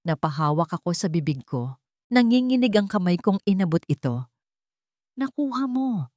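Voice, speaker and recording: Woman, lower-pitched voice, dry close sound, dramatic audiobook narration. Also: big pitch swings, brisk, mellow, dry recording. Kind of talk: dramatic first-person scripted narration.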